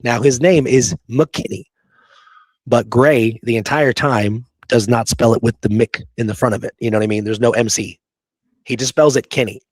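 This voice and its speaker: A man's voice: medium pitch, fairly even intonation, normal speed, slightly rough, dry recording. A man co-hosting a history talk show, reading out quoted passages and adding informal asides of his own. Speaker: podcast co-host.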